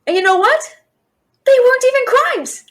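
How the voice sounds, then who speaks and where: A very high-pitched young female thief, acted by a woman in a fantasy audio drama. She is cheeky but scared, stammering as she tries to bluff and talk her way out of trouble. Her delivery is nervous and theatrical. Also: expressive, normal speed, fairly bright tone. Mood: defensive, indignant. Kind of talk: audio roleplay acting, fantasy.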